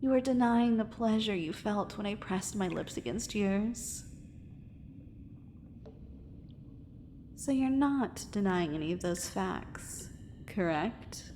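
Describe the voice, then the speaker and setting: Slow, echoing, adoring mermaid played by a woman. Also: medium pitch, animated, mellow, a little hiss or hum. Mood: coaxing, teasing. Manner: formal, flowery, coaxing, intimate. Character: possessive, adoring female angler mermaid, dangerous and tender. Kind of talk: ASMR romance roleplay.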